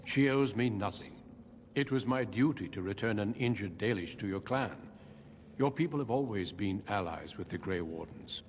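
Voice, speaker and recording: Male actor as seasoned warrior mentor, video game, deep-leaning voice, courteous, measured, grave, long reverb, noisy ambience. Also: close to an everyday voice. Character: calm, grave veteran human warrior mentor. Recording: echoey cave reverb, noisy ambience behind.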